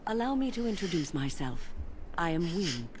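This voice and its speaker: An actress playing a calm, kindly older woman mage in a video game, speaking in a measured, gracious way. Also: lowish voice, slight background noise. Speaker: game character. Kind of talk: voiced game dialogue.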